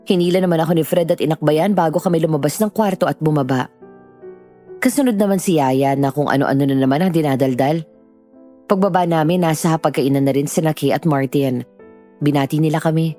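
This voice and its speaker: Female horror-story narrator, deep register, dark mellow tone, soft music behind. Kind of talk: scripted first-person horror narration.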